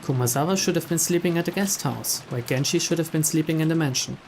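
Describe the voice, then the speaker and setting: A man narrating a visual novel playthrough, reading the story aloud in a measured way with small stumbles. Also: a little high, a little mellow. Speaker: narrator, game playthrough host. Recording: faint rain noise behind.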